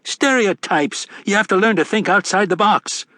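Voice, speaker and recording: A man's voice: high voice, moderate pace, pushed and bright, dry recording, studio quality, pitched above the performer's own voice. A man voicing a brash, foul-mouthed, tipsy bearded leprechaun with a scoffing manner in an audiobook. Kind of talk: audiobook character acting.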